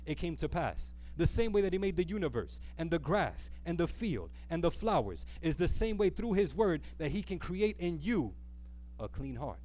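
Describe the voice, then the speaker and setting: Male preacher, higher-pitched voice, earnest exhortation, rhetorical questions, repeated phrases, deliberate emphasis on key words. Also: a little mellow. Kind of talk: sermon with a closing appeal.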